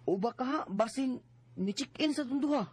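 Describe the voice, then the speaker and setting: A woman's voice: high voice, expressive, normal speed, a little mellow, breathy edge, full character voice. A woman playing a gossipy teenage boy classmate in a radio drama, with a hesitant, insinuating manner and a Cebuano Filipino accent. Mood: gossipy, coy. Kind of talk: Bisaya radio drama acting.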